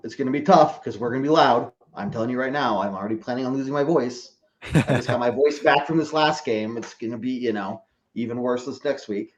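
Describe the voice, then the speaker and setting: A man's voice: mid-range voice, lo-fi sound. A man who is a guest on a sports podcast, giving a casual, rambling football analysis with lots of hesitations and self-corrections. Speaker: podcast guest, team fan.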